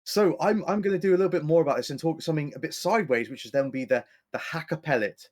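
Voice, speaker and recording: A man's voice: a little high, quick pace. A man co-hosting a history podcast, talking in a rambling, self-correcting, playful way. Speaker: podcast co-host. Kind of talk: casual history podcast chat.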